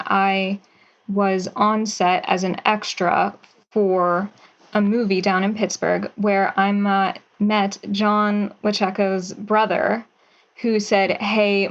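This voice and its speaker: A young woman talks easily and brightly about her work as a guest, laughing now and then. Her voice sits in a middle range, and the recording is somewhat muffled. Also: mid-range voice. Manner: chatty, upbeat, with light laughter. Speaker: guest writer and actress. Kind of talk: casual interview.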